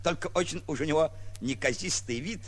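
An actor playing a suave, pompous self-styled prince in a 1940s radio play, speaking grandly with drawled hesitations. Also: male voice, on the high side, fairly quick, natural voice. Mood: grand, assured. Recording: muffled vintage 1940s radio recording.

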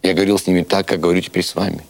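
A man voicing a sly, hungry male wanderer who spins solemn tales with mournful deadpan, in a storybook reading. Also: sits low, racing, vocal fry.

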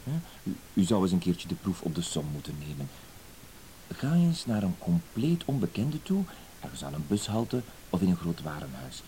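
Low-voiced, fussy blackmailer. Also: male voice, very animated, moderate pace, mellow, rough recording. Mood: quietly menacing. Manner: hesitant, circling, full of verbal tics and apologies. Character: fussy, hesitant, apologetic male blackmailer. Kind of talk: radio play acting. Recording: hissy old radio play recording.

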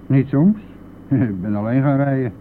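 An actor playing a brash, defensive bus driver in a Dutch radio play, blustering and scoffing in colloquial speech. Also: male voice, medium pitch, normal speed, lightly acted. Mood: callous, boastful. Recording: tinny band-limited old radio recording, noisy.

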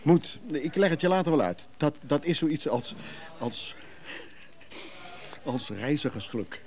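A man playing a brisk schemer giving urgent, secretive orders on the phone in a radio play, halting and commanding. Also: fairly high pitch, expressive, darkish tone.

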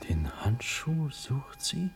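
An actor playing a brooding nobleman in a classical radio drama, speaking inwardly and portentously in a hushed manner. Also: mid-range voice, very animated, slow pace, creaky, whispered, faint music behind, full character voice. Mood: hushed, astonished.

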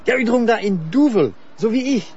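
An eager, chatty German tourist in a TV drama. His high voice swings widely as he speaks halting, German-accented Dutch. The audio is slightly dull. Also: lively intonation, darkish tone, slight background noise. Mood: friendly, eager. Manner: halting, mixes in German words. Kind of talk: naturalistic TV crime drama dialogue.

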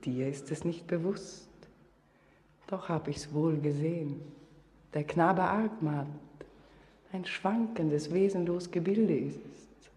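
A woman's voice: low-pitched, slow, long pauses, dark tone. An actress playing a mysterious, commanding female spirit of the depths in a verse radio drama, solemn, incantatory and often whispering. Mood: tender, stern. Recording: long, cavernous reverb.

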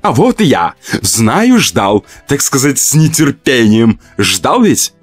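A man puts on a jaunty, mocking spirit guide with a lively, swooping delivery. The character is chatty and sardonic, heard over music in a horror audiobook. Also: mid-range voice, slow pace, slightly rough, pitched above the performer's own voice, heavily acted.

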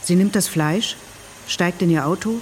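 Male narrator, fairly high voice, matter-of-fact screenplay reading, clear traffic-like noise behind. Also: normal speed. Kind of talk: scripted screenplay-like narration in present tense. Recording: clear vehicle and ambient noise.

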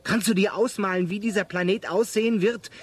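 An actor playing an earnest, fussy and stubbornly hopeful castaway in a radio play, talking like a patient schoolmaster. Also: male voice, high-pitched, fairly even intonation, brisk, husky. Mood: earnest, pleading.

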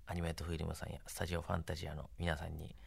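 A man's voice: rumbling low, monotone, racing, gravelly, slightly rough. A man speaking as an interview guest, conversational, in short phrases broken up by fillers. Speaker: interview guest, anime production staff.

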